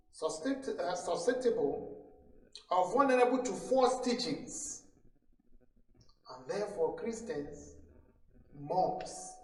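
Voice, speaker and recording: High-voiced male pastor preaching slowly. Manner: solemn, admonishing, emphatic, repeats phrases for weight. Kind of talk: sermon. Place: church hall during a service. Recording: echoey room, distant microphone.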